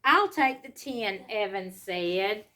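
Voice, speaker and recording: A woman reads a storybook aloud to children, pausing to explain simply and ask them questions. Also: mid-range voice, animated, bright, forceful tone. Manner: simple explanatory asides and questions to young listeners.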